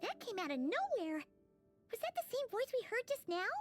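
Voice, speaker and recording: A woman voicing a tiny, excitable, curious sprite companion in a video game, with a squeaky childlike voice. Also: normal speed, soft background music. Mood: startled. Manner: exclamatory, inquisitive. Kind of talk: animated video game voice acting.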